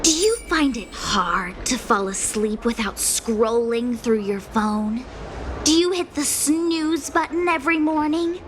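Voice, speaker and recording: A woman's voice: a little high, animated, unhurried, noisy background. A woman voicing an earnest, world-weary but sympathetic young working woman in a video game, speaking in a coaxing, rhetorical way. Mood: pointed, probing.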